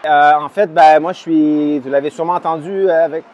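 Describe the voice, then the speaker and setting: A man in his forties with a Canadian French accent, a hockey captain answering interview questions in a lively, emphatic way with many fillers. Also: fairly high pitch, brisk, dull audio. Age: 40s. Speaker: hockey player, team captain.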